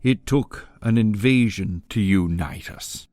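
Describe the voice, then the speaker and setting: An actor playing a grave storyteller of an exiled people, narrating a dramatic audio prologue with deliberate delivery. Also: male voice, lowish voice, a little mellow, no room sound. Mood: grave, mournful. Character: solemn storyteller of an exiled fairy-tale people.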